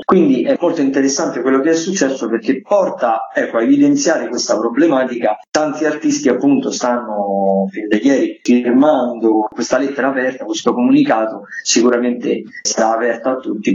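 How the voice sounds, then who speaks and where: A man's voice: medium pitch, a little mellow. A man giving wordy, articulate spoken commentary as a theatre critic on the radio. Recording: roomy echo, slightly dull sound.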